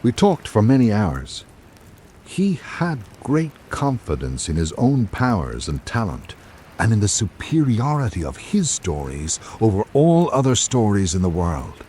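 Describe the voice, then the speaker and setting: A man hosting and narrating in a scripted old-time radio drama style, with dramatic, measured delivery. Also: fairly low pitch, wildly swooping pitch, warm tone, a little hiss or hum.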